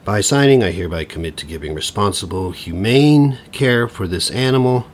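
A man plays an awkward, exasperated dad in his forties in an audio comedy-drama. His low voice swings widely in pitch as he stumbles through sarcastic, flustered lines. There is faint background noise. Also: expressive, moderate pace, natural voice. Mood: awkward, uneasy. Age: middle-aged.

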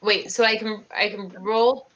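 A young woman plays in an online tabletop game, her voice bright. She thinks aloud hesitantly as she counts squares and checks rules. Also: medium pitch, steady tone, unhurried, highs cut off. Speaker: player. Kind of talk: casual tabletop game play.

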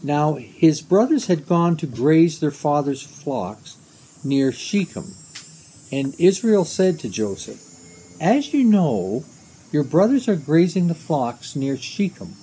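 A man in his seventies reading scripture aloud in a measured way, recorded outdoors on a device at arm's length. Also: a little high, big pitch swings, warm tone, slight background noise, rough recording. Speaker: lay scripture reader. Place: outdoors beside a brick house under trees.

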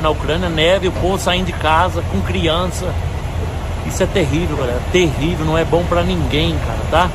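A truck driver in his forties vlogging at a fuel pump with his phone held at arm's length, talking emphatically to his viewers. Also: high voice. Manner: indignant, emphatic, talks to viewers as "galera". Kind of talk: casual vlog to camera. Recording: phone video with loud outdoor traffic noise.

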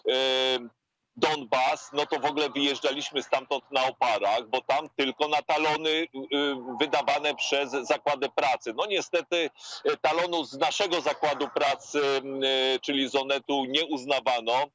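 A man in his fifties giving a hesitant live field report outdoors on a residential street, speaking through an earphone headset with many fillers and pauses. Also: mid-range voice, steady tone, fairly bright tone, no room sound. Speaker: war correspondent. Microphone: earphone headset on a video link. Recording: lo-fi video call audio.

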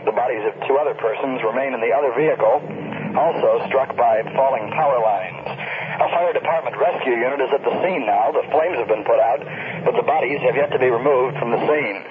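A man playing a grave field reporter in a 1970s radio drama, giving a measured, deliberate report. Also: medium pitch, natural voice. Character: grave male field reporter at a disaster scene. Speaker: mobile unit news reporter. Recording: noisy, tinny mobile-unit radio link.

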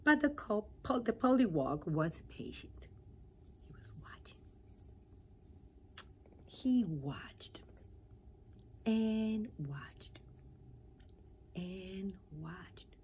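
A middle-aged woman reading a children's storybook aloud in an animated way, with dramatic pauses and questions to her listeners. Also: medium pitch, a little hiss or hum.

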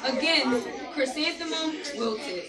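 High-voiced woman reading in a noisy classroom. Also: takes it slowly, over a noisy crowd. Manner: playful, directing, repeats short commands. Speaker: guest reader leading a classroom of fourth graders. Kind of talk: animated read-aloud with call-and-response. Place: busy school classroom full of seated children. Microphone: phone held at back of room. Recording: echoey classroom from far off, noisy children.

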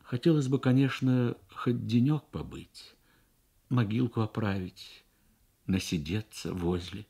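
A man's voice: fairly low pitch, lively intonation, unhurried, creaky, mellow, slightly rough, exaggerated voice. A man voicing a grieving elderly mother in a radio story, subdued and hesitant. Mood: quiet, wistful. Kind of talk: Soviet radio story reading.

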